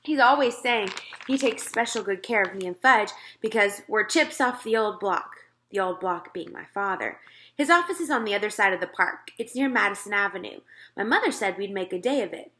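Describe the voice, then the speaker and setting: A woman reading a children's story aloud with expressive narration. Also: mid-range voice, fairly quick.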